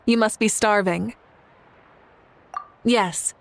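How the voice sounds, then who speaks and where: A woman's voice: mid-range voice, steady tone, extremely slow, vocal fry. A woman playing an assured, kindly female warrior leader in a video game, speaking with composure. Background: faint music.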